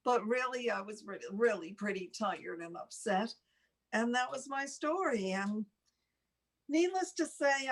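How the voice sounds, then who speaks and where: Older woman telling a rambling personal story. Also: mid-range voice. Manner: rambling, halting, self-deprecating. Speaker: talk show host.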